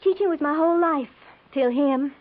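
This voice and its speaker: An American actress playing a chatty, easily riled young schoolteacher bride with folksy, earnest speech in a 1950s radio drama. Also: female voice, high voice, normal speed, a little hiss or hum, natural voice. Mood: warm, eager. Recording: tinny, narrow-band 1950s radio recording.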